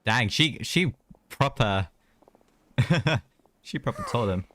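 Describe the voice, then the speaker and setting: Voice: mid-range voice, animated, slow pace, a bit bright. A game streamer giving casual, chatty live commentary with sympathetic reactions.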